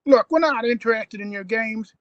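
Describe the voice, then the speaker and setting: A woman's voice: a little high, normal speed, dry recording, dull audio, close to an everyday voice. A woman playing a brash, impatient young male traveller in an audio drama, blunt and defiant in manner.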